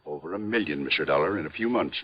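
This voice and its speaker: An actor playing a courteous old family lawyer, mild and hesitant, in 1950s radio drama style. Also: male voice, very deep voice, animated, fast, breathy edge, exaggerated voice. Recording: dull vintage radio recording.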